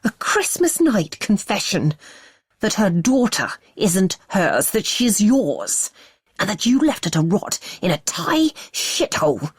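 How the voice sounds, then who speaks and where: Seething controlling man, low-voiced, slow menacing snarl, read by a woman narrator. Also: expressive, husky, no room sound, clean studio sound. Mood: furious.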